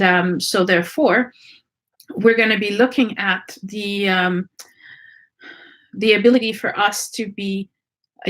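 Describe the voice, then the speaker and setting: Lady teaching a class, lots of ums and restarts, middle pitched voice. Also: fairly bright tone. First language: French. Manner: searching, many ums and restarts. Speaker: energy work teacher. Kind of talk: unscripted teaching talk.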